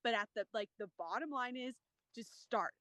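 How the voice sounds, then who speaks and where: A woman's voice: a little high, lively intonation, normal speed, sharp tone, no room sound. A woman landscaper speaking as a panel guest in a casual chat, enthusiastic and rambling, with many fillers.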